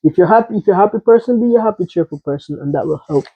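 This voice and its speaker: A man in a casual personal discussion, speaking in a gentle, encouraging way through tinny, band-limited sound. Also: high voice, lively intonation, very fast, a little hiss or hum.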